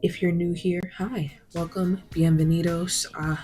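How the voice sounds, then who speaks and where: Brief: low-voiced woman with a New York accent, verbose and bougie, makeup chat with faint music. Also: flat delivery, a little slow, warm tone. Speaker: vlogger. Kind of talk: chatty commentary while doing makeup. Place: home glam room.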